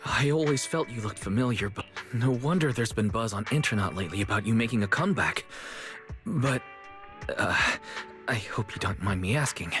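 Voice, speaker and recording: A man's voice: fairly low pitch, expressive, moderate pace, fairly bright tone, faint music behind. A man playing a kind, tactful, dependable young store manager in a video game, speaking politely. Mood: curious, polite. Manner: polite, considerate.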